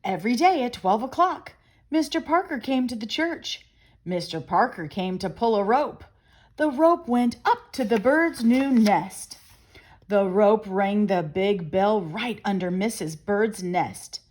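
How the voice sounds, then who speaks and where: A middle-aged woman reading a children's picture book aloud as storyteller, expressive and deliberate. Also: medium pitch. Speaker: storyteller and channel host.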